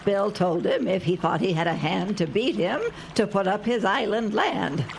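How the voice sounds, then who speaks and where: A woman playing a plain-spoken, protective older countrywoman in a radio play, her voice creaky. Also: mid-range voice, moderate pace, lightly acted. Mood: firm. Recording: clear water noise behind the voice.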